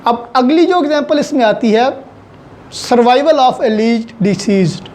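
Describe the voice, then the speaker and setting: A law lecturer with a Pakistani accent explains with examples in deliberate, halting phrases, mixing in English legal terms. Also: medium pitch, darkish tone, slight background noise.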